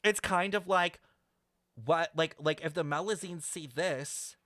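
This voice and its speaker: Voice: medium pitch, studio quality. A brash, sweary livestreamer chatting casually and rambling through an explanation, playfully scolding viewers with theatrical emphasis. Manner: animated, theatrical emphasis with drawn-out words. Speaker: streamer reacting to a video game story. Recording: roomy echo, faint music behind.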